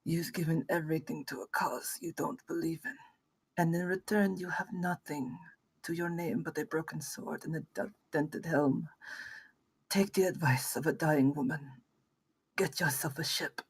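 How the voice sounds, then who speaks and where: A woman playing a dying female warrior monk at a script table read, bitter and weary, with a husky, breathy voice. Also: deep voice, fairly even intonation, normal speed, rough recording. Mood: weary, fading. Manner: weary, scornful, broken by coughs and gasps.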